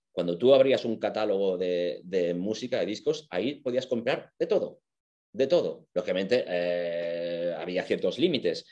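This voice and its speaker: A man with a fairly low voice and an accent from Spain gives an informal lecture. He explains things conversationally, with evident enthusiasm for his material. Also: darkish tone.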